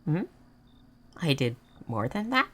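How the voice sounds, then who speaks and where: A woman playing a gentle, naive moth person in a roleplay audio, speaking in halting, simple, formal phrases. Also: low-pitched, sing-song, heavily acted. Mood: soft wonder. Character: gentle, naive, curious moth person learning human things. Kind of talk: scripted roleplay audio addressed to the listener.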